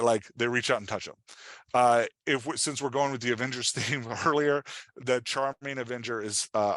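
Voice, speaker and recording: A man's voice: medium pitch, a bit bright, no echo. A man giving an informal workshop as a writing coach, explaining with examples and often checking in with the listener. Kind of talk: informal instructional presentation.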